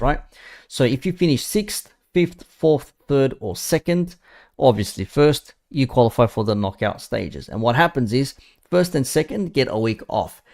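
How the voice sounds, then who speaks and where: A man's voice: mid-range voice, a little mellow. A man, chatting casually and bluntly about football to his viewers. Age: 30s. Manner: blunt, sweary, mocking. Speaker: football streamer. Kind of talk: casual live chat.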